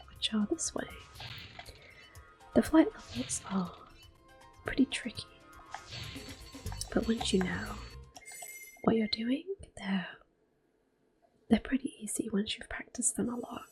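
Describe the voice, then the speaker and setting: A woman hosting ASMR gameplay, talking softly and gently with quiet laughs. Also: mid-range voice, low-quality recording. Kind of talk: whispered ASMR gameplay commentary.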